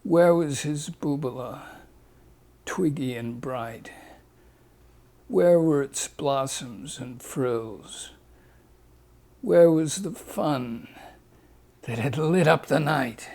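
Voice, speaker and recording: A man's voice: on the high side, vocal fry, darkish tone. A man reading his own rhyming fable aloud in a playful storybook manner, putting on voices for the characters. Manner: slow, playful reading that leans into the rhymes.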